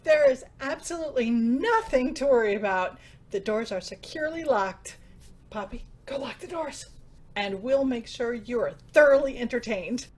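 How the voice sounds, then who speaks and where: Radio play manager for an actress: woman, animated and high, brisk, determined to reassure. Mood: forced cheer. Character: Eleanor, upbeat station manager smoothing over trouble. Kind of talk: radio drama acting.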